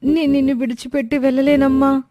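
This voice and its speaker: A woman's voice: sits high, level tone, quick pace, mellow, dry recording, pitched above the performer's own voice, close to an everyday voice. A woman voicing an earnest, plaintive young daughter searching for her mother in a moral story. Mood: distressed. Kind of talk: Telugu moral story character acting.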